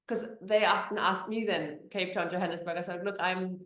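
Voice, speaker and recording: A woman's voice: on the low side, steady tone, normal speed, a bit bright. German-accented woman in an echoey room. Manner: chatty, many fillers and restarts. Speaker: immigration attorney. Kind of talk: conversational interview answers.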